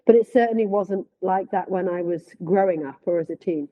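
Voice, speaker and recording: A woman's voice: lowish voice, a little fast, phone-quality sound. A woman from Manchester, a parent guest reflecting on her upbringing in a podcast discussion. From Manchester, England.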